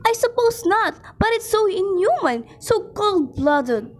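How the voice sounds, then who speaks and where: A woman's voice: very high voice, sing-song, a little slow, pitched above the performer's own voice, exaggerated voice. A woman voicing a nervous, demanding, self-pitying invalid wife in an amateur radio play, fretful and imperious. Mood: troubled.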